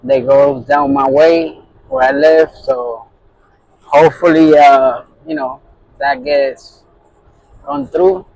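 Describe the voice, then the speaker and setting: A man's voice: on the high side, expressive, takes it slowly, gravelly, long pauses, a little mellow, lo-fi sound, slight background noise. A man from Puerto Rico, a truck driver, talking casually to his phone in a rambling way full of fillers and restarts. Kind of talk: casual vlog talk to camera.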